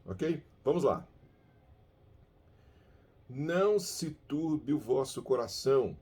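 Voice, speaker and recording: A Brazilian man with a Brazilian Portuguese accent hosts a language lesson podcast. He reads scripture and explains it deliberately, repeating phrases and slipping Spanish words into his Portuguese. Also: mid-range voice, a little mellow. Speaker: podcast host and language teacher.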